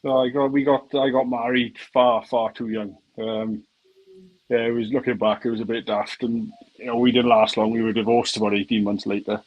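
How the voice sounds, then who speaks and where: A man chatting casually on a live call, easygoing and quick to laugh. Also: mid-range voice, flat delivery, dull audio.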